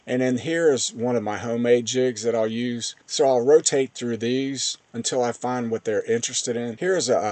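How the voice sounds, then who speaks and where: A man's voice: medium pitch, a little mellow, dry recording. A man giving casual, plain-spoken voice-over commentary on fishing footage as host of a fishing channel.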